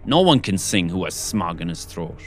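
A man's voice: very animated, normal speed, soft background music. A man voicing a small, indignant male forest creature from a storybook, raised and scolding. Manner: scolding, high-pitched and loud. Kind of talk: storybook reading.

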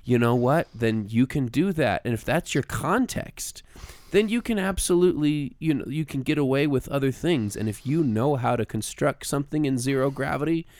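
A man's voice: medium pitch, moderate pace, darkish tone, no room sound. A man co-hosting a podcast like a teacher, chatting casually and emphatically, acting out imagined remarks. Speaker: podcast co-host and music teacher.

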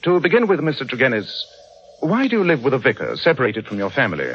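Casting: male actor for keen-witted British sleuth, authoritative and animated, old-time radio drama with faint noise. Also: medium pitch, fast talker, lightly acted. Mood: measured, inquisitive. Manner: crisp, theatrical, authoritative. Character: commanding, keen-witted English detective, theatrical and soothing. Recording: muffled vintage radio recording, faint noise.

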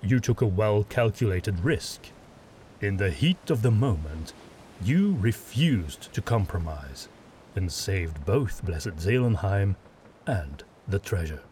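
A man's voice: on the low side, expressive, darkish tone, a little hiss or hum. A man voicing a stern, condescending witch hunter lord who speaks firmly and with authority. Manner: firm, measured, authoritative.

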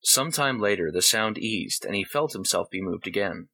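A man's voice: fairly low pitch, animated, normal speed, creaky, sharp tone. A man reading a short story aloud as scripted narration.

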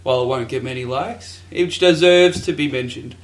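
A man's voice: medium pitch, moderate pace. Man in an echoey room. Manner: joking, mock-grandiose. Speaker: podcast co-host. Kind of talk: casual sports podcast chat.

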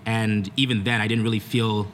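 A young adult man from Canada, a podcast guest who talks haltingly, with many pauses and restarts. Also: lowish voice, little pitch movement, a bit bright, slight background noise. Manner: hesitant, with many fillers and restarts. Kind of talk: casual chat.

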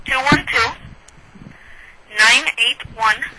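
A woman working as a hotel phone operator, patient and formal, speaking deliberately over a breaking-up telephone line. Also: on the high side, heavy background noise. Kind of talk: customer service phone call.

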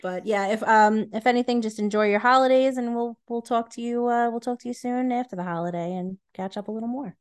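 A woman's voice: on the high side, a little fast, few pauses, smooth. A woman co-hosting a podcast in casual banter, playful and jokingly indignant. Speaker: podcast co-host.

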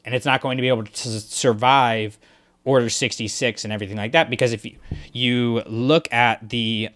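A man's voice: medium pitch, a bit bright. A man co-hosting a podcast, talking things through in a rambling, thoughtful way with fillers and restarts. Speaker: podcast co-host. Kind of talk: casual discussion podcast.

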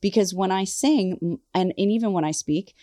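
A woman host and vocal coach chatting casually on a podcast, bubbly and animated, laughing often. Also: mid-range voice, moderate pace, no room sound, studio quality. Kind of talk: casual chat.

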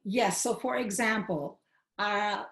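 A woman from Queens, New York City, giving measured, explanatory answers as an interview guest. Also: mid-range voice, fairly bright tone. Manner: measured, explanatory, slows for key points. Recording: roomy echo.

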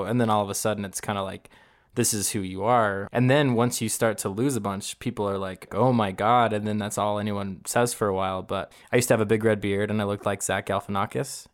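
A man's voice: fairly low pitch, dry recording, clean studio sound. A young man co-hosting a casual podcast, who talks loosely, laughs often and swears casually. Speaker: podcast co-host, singer.

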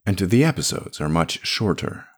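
A man's voice: low-pitched, big pitch swings, normal speed, husky. A man reading scripted narration and announcements as the writer and narrator of a podcast.

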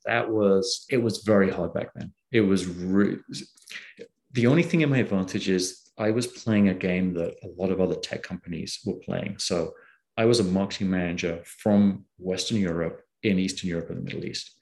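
Deep, fry-edged male guest from the UK, chatty storyteller who voices quotes. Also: moderate pace. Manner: animated storyteller, acts out quoted dialogue. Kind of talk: casual storytelling interview.

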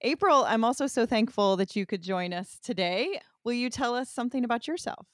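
A woman's voice: on the high side, animated, brisk, no echo, studio quality. A middle-aged woman hosting an interview in a casual, conversational way, chatty, informal and effusive. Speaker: interview host.

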